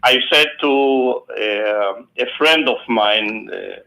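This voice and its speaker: A man in his seventies with an Israeli accent, an author speaking haltingly as a guest on a phone interview, with many fillers and restarts. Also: lowish voice, creaky, sharp tone. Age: 70s. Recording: phone line.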